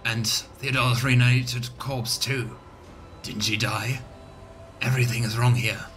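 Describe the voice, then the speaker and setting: A man's voice: medium pitch, little pitch movement, a little slow, a bit bright, faint music behind. A man giving casual commentary and narration as he streams a voiced let's play.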